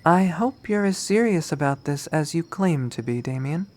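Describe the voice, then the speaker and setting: A man's voice: a little high, lively intonation, moderate pace, darkish tone, pitched above the performer's own voice, natural voice. Man playing a young woman, visual novel character, reserved, clipped, formal, dryly commanding. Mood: guarded, businesslike.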